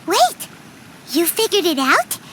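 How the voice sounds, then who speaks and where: Squeaky, excitable little fairy companion. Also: female voice, slow, noisy background, full character voice. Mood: curious. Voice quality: squeaky, childlike. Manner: exclamatory, questioning. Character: tiny excitable childlike fairy companion, girlish and indignant. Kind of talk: anime video game voice acting.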